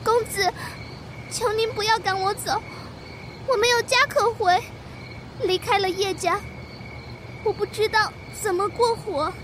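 A woman's voice: extremely high pitch, fairly even intonation, a little slow, pauses often, background noise. A woman playing a timid, deferential young servant girl in a costume radio drama. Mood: frightened, pleading. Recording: echoey long reverb, far off, music behind.

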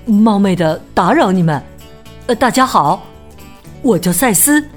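A woman's voice: medium pitch, lively intonation, measured pace, faint music behind. A woman voicing a shy, polite male blue cat in a children's story. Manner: bashful, courteous.

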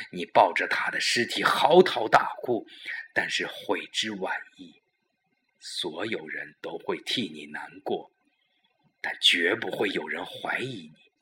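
Audio drama villain, male performer: excited murder plotter, emphatic and commanding, intensely bright, tense delivery. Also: sits low, full character voice.

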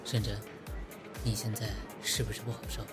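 A man playing a calm, blunt man in a Chinese audio drama. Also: on the low side, moderate pace, close to an everyday voice. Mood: cutting. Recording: music clearly behind the voice.

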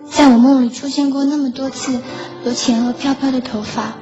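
A woman's voice: sits high, fairly even intonation, brisk, close to an everyday voice. A woman playing a wistful teenage schoolgirl. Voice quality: soft, wistful. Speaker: lead and narrator. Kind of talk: audio drama acting and narration. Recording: dull lo-fi sound with loud music.

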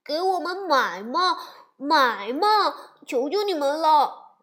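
Woman playing shrill pleading bear cubs. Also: big pitch swings, slow, a bit bright, full character voice. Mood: whining. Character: young bear cubs, excited and whiny.

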